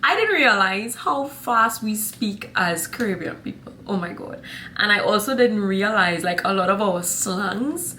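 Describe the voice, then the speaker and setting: A woman's voice: medium pitch, expressive, unhurried, pushed and bright, a little hiss or hum. A young woman with a Trinidadian accent chatting to camera in a rambling, sassy vlog style, calling her viewers honey and y'all. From Trinidad and Tobago. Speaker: vlogger. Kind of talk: casual chat to camera.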